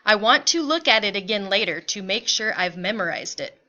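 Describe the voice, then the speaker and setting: A woman reads as a worried, weary mother in a narrated monologue, plaintive and anxious. Her voice is bright, with medium pitch, captured a little dull and lo-fi. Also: lively intonation, fairly quick.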